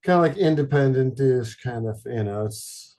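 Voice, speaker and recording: A man from Detroit, a podcast guest, chatting casually in a halting, rambling way with many fillers and deadpan jokes. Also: mid-range voice. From Detroit, Michigan. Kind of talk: casual chat.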